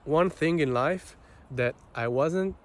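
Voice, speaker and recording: Male vlogger, Israeli accent, Hebrew native; unhurried, halting, reflective delivery with long gaps, speaking off the cuff over noticeable background noise. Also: a little high. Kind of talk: unscripted personal monologue.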